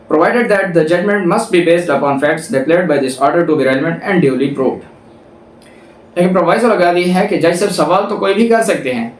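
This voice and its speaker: Pakistani guy teaching law, kinda high voice, roomy and a bit far from the mic. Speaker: law lecturer. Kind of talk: lecture, reading statute and explaining it. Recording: roomy echo, distant from the mic.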